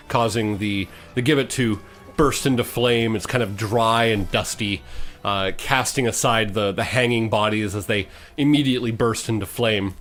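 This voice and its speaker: A man's voice: medium pitch, a bit bright. A man running a tabletop fantasy game, describing the action with frequent restarts and self-corrections. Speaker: game master. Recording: music playing behind the voice. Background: background music.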